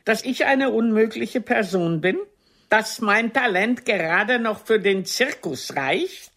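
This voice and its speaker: An actress playing an aging, proud and wounded stage diva in a German radio play, with a deliberate, cutting, theatrical delivery. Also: female voice, mid-range voice, animated, fairly bright tone, full character voice. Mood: bitter, accusing.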